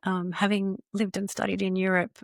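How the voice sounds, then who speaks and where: A woman's voice: mid-range voice, monotone, normal speed, creaky, no echo, clean studio sound. A woman in her forties hosting a podcast interview, speaking in a thoughtful, reflective way. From Australia. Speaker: podcast host, CEO.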